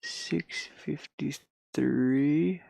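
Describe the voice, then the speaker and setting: A man thinking aloud in a casual, halting mutter as he works on a game at his computer, talking mostly to himself. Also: a little high, creaky, darkish tone, no echo. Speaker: hobby game developer. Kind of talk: casual think-aloud screen-recording commentary.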